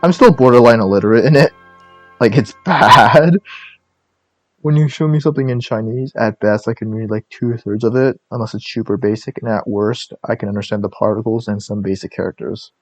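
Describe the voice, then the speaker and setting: A man's voice: lowish voice, a little fast, no room sound, soft background music. A young man chatting in a casual vlog, rambling with joking, self-deprecating asides. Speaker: YouTuber.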